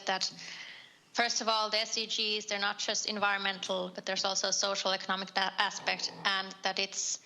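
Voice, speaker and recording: A woman from Finland giving testimony to a committee, hesitant, with many pauses and ums. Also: medium pitch, bright, forceful tone, rough recording. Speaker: committee witness. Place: committee room.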